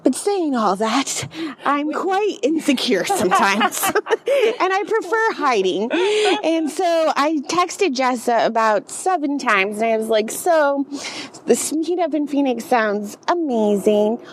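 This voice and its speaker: A woman with a soft, girlish voice, talking casually as a podcast guest and filling her speech with "like". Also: sits high, animated, slow, slight background noise. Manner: soft, girlish voice with frequent laughs and fillers. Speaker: guest healer.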